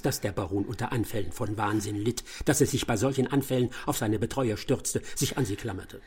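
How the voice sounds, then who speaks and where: A pompous, animated master detective. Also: male voice, mid-range voice, gravelly, husky, exaggerated voice. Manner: formal, pompous, emphatic. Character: self-assured English master detective, pressing, triumphant, pompous. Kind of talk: radio drama acting.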